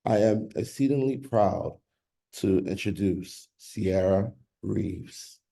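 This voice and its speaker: A man from the Bronx introduces his cohort with proud, formal phrasing. His voice is very deep and dark, with a gravelly rasp. He speaks over a video call. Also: takes it slowly. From the Bronx, New York. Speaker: program manager.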